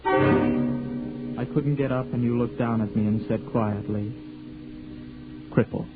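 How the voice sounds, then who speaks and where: Young actor as a gentle, halting shy fellow; tinny old broadcast with music behind. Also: male voice, mid-range voice, steady tone, lightly acted. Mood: narrating. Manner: hesitant, stammering, gentle. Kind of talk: 1940s radio acting and narration. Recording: lo-fi 1940s radio with music.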